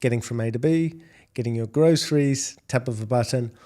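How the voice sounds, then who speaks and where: Australian man, middle-aged, warm mellow tone, measured business interview answers. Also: medium pitch. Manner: measured, repeats words when restarting. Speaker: podcast guest, food delivery executive.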